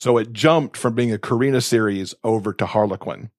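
A man's voice: lowish voice, a little fast, no echo, clean studio sound. A man chatting casually and effusively about books as a podcast co-host, with emphatic delivery. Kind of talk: casual enthusiastic book chat.

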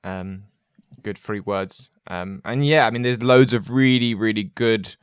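A man's voice: lowish voice, a little slow, clear, resonant tone, no echo, clean studio sound. A man hosting an interview, chatting in a rambling way with many fillers and repeated words. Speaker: host. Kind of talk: casual interview chat.

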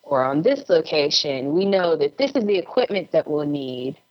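A woman's voice: deep voice, lively intonation, moderate pace. A woman giving casual advice as an interview guest, heard over a dull lo-fi call. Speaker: interview guest, actress and filmmaker. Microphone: remote call.

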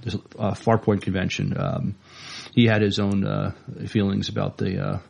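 Halting man with a low, creaky voice. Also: level tone. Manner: hesitant, halting delivery. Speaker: podcast co-host. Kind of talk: casual movie and DVD news chat.

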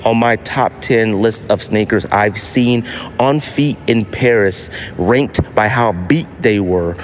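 A man hosting a podcast, giving a casual, opinionated monologue in an animated, slangy way. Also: fairly low pitch, slow pace, a little hiss or hum. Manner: animated, slangy, acts out imagined quotes. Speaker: podcast host.

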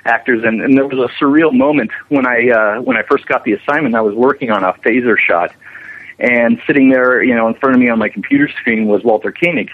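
A man's voice: mid-range voice, brisk. A man being interviewed as a special effects animator, explaining his work conversationally with frequent restarts. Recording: narrow, tinny phone-like line.

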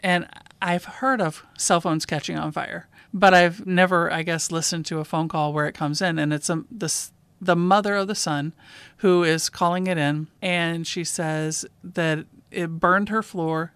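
Female host, police dispatcher type, conversational case commentary. Clear, smooth voice, dry sound. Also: lowish voice, steady tone, unhurried, a bit bright, dry recording.

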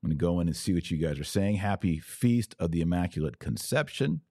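A man's voice: low voice, expressive, a little fast, warm tone, dry recording, studio quality. A man hosting a religious podcast, speaking in emphatic, short declarative phrases as he argues a point of faith to his viewers. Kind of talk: live apologetics talk answering viewer comments.

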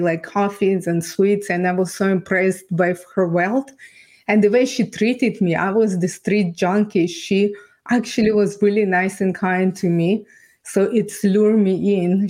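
Woman, Ukrainian accent, podcast guest, flat intonation, middle pitch, earnest halting testimony. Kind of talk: personal testimony storytelling.

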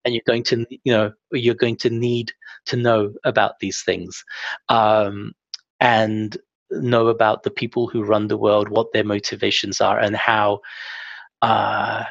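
A young adult man speaking as an interview guest, relaxed and self-deprecating with lots of fillers. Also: on the low side, vocal fry, no room sound. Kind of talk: casual interview talk. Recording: dull, dry lo-fi sound.

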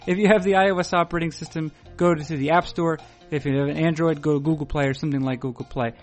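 A man hosting a podcast, talking in a dry, wordy, formal deadpan. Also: fairly high pitch, quick pace, soft background music. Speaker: podcast host. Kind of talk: deadpan scripted intro and ad read.

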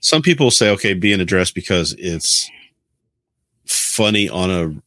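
A man's voice: deep voice, expressive, normal speed, fairly bright tone, no echo. A man explaining things wryly in casual conversation. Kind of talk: casual chat.

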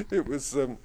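A man who leads prayers at his community, answering interview questions in a lively, storytelling way with frequent laughs. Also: fairly high pitch, husky.

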